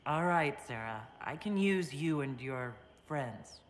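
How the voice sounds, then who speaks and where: A woman voicing a composed, questioning warrior leader in a video game, measured and direct. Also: extremely low pitch, expressive, noisy background, heavily acted. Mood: calm, firm.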